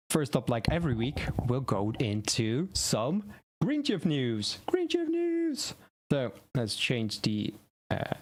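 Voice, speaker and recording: A man whose first language is Dutch hosts a relaxed live stream on website building. He thinks aloud, stumbles over words and restarts them, at an ordinary middle pitch. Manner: rambling, self-correcting, thinking aloud. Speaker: web design streamer. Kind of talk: casual tutorial live stream.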